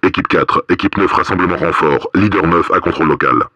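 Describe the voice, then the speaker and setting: Deep, harsh radio police officer. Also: male voice, fairly quick, pushed and bright, no room sound. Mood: clipped reporting. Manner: terse, commanding, coded military phrases. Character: stern, cold masked paramilitary police officer. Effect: radio filter. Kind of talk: clipped video game radio chatter.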